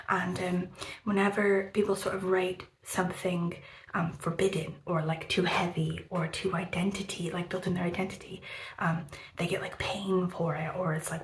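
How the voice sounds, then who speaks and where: A woman chatting casually about a book on her vlog. She rambles with many fillers, swears freely and often drops into a breathy half-whisper. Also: on the low side. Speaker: book vlogger. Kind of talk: casual book review chat. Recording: roomy room echo, faint background noise.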